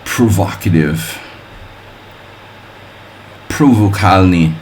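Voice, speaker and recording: A man's voice: sits low, extremely slow, a little mellow, a little hiss or hum. A man streaming chess, calling out moves and thinking aloud between offhand jokes and wordplay for his viewers. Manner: wry and offhand, chatting with viewers. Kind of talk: live-stream commentary while playing a simul.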